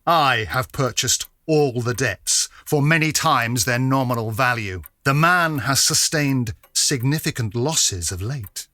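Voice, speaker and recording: Actor as a cold, vengeful gentleman, forceful voice. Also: medium pitch, dry recording. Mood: grave, businesslike. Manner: menacing, deliberate, theatrical. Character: cold, vengeful, haggard gentleman bent on ruin. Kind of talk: audio drama acting of a Victorian story.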